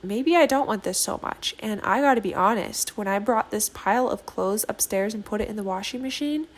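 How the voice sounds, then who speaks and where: A woman in her thirties narrating a home video in a casual voice-over, her voice a bit hoarse from a cold, chatty and quick to laugh. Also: medium pitch. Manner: chatty, self-deprecating, laughs often. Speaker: vlogger.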